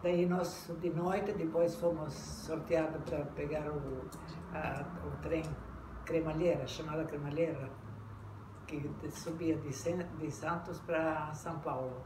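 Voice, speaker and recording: Older woman recalling, halting, echoey. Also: sits low, steady tone. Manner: halting, many restarts and repeated words. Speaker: interviewee. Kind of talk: oral history recollection. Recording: echoey room, mic far off, noisy.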